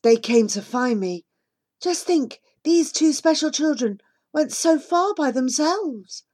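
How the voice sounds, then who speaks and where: A proud, gentle father speaking of his children in a storybook, as a woman reader puts him on. He talks slowly, with a lively, rising voice. His tone is reassuring. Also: high voice, pitched above the performer's own voice.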